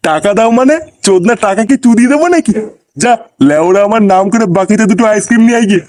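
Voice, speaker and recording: A man's voice: sits high, very fast, a little mellow, lightly acted. A man voicing a lazy, foul-mouthed, bossy loafer in a comedy cartoon, talking in crude slang. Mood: irritated. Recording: clearly noisy background.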